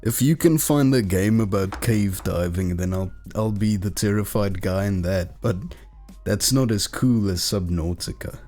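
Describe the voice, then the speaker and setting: A man's voice: lowish voice, measured pace, dark tone, faint music behind. A man co-hosting a casual podcast, teasing and playful with his co-host. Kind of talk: casual chat.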